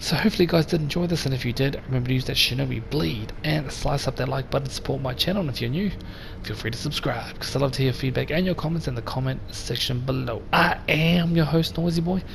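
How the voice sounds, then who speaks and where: Brief: male gaming host, gravelly and a little croaky, relaxed chatty commentary, game noise underneath. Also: mid-range voice, fast talker, few pauses. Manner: relaxed and chatty, talks straight to viewers. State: slightly croaky voice. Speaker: let's play host. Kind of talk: casual gameplay commentary. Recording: voice over game sound noise.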